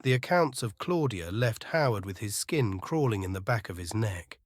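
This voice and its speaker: A man's voice: fairly low pitch, animated, moderate pace, no echo, faint music behind. A man narrating a scripted audio drama in a literary, atmospheric storytelling manner.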